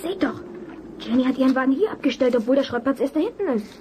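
A woman playing a curious, excitable young detective's helper in a German audio drama, with a husky voice. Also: high-pitched, fast talker, slight background noise. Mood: excited.

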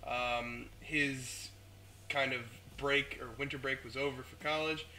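A young man who is sick with the flu talks loosely over his gameplay, a little hoarse and quick to swear at what happens on screen. His voice sits at an ordinary pitch. Clear background noise hangs in his home recording. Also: fairly even intonation. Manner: profane, reacts out loud to the game. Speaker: gaming host. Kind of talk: casual gameplay commentary. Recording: noisy home mic recording.

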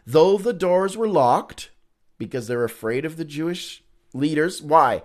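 Male Bible teacher, 40s, slightly high voice, informal and conversational, poses questions and answers them himself. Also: animated, unhurried. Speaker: Bible study host and teacher. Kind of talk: informal Bible read-along teaching with commentary.

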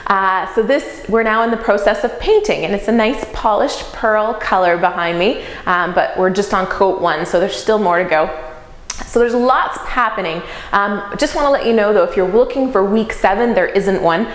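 A woman's voice: mid-range voice, moderate pace. Upbeat woman in her 30s vlogging from an empty bedroom, voice bouncing off bare walls. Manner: upbeat, chatty, practical. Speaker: real estate investor vlogger. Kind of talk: casual talk to camera. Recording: echoey empty room.

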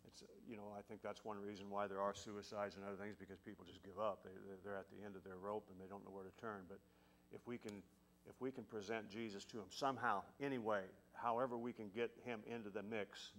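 A man's voice: on the low side, little pitch movement, a little fast, few pauses, fairly bright tone, slight background noise. A middle-aged man leading a casual church service from the pulpit, folksy and peppered with hallelujahs and praise the Lords. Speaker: pastor. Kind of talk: casual sermon and prayer time. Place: large church sanctuary. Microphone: podium mic.

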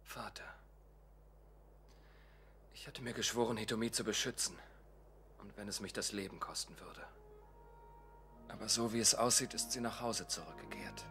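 Man acting a grieving young knight, bright-edged low voice. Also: moderate pace, pauses often. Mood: sorrowful. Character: grieving, dutiful young knight, devoted brother. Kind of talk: German audio drama acting. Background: music.

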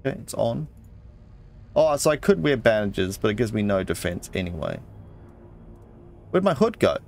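A man's voice: lowish voice, huge pitch swings, moderate pace, vocal fry, darkish tone. A man giving casual let's play commentary, thinking aloud to himself as he plays. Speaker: gaming channel host. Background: game music.

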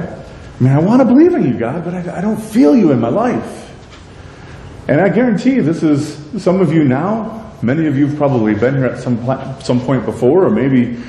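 A middle-aged man with a deep voice preaching a sermon in a church, conversational and self-deprecating, with many rhetorical questions. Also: dark tone. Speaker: pastor. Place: church sanctuary with a stage. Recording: echoey church hall, light rumble.

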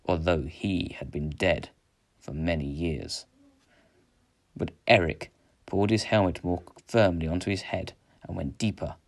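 Man, lowish pitch, expressive storybook reader, children's adventure narration.

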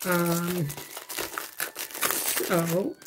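Hoarse, slow-talking woman vlogger. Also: lowish voice, level tone, noisy background. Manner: rambling, chatty, self-interrupting. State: hoarse, losing her voice. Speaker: craft vlogger. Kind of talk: casual unboxing chat. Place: home dining room, seated at a table.

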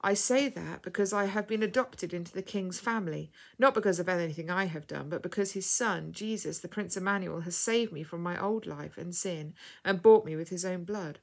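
A woman voicing a kindly, devout captive cook in a story, speaking softly and invitingly in a motherly way. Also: on the low side, moderate pace, gravelly, few pauses. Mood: tender. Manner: soft, inviting.

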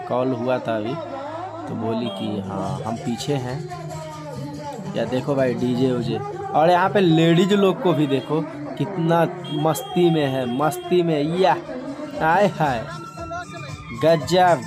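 A man narrates his vlog live from a packed outdoor street rally, cheering on the crowd for his viewers. He speaks slowly and stretches his words. Loud DJ music plays clearly behind him. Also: mid-range voice. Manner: excited, hyping the crowd to viewers. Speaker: vlogger.

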